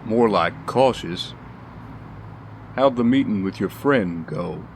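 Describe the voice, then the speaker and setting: A man's voice: on the low side, animated, slow pace, slight background noise. A man playing a loyal but exasperated bodyguard in an audio drama, casual and skeptical. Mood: curious, cautious.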